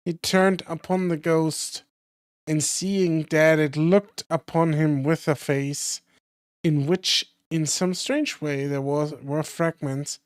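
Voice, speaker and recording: Hoarse streamer narrating a classic story; slow, dry, close mic. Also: mid-range voice, no room sound. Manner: slow careful reading with small slips. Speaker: streamer and reader. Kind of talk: reading a classic novel aloud on stream.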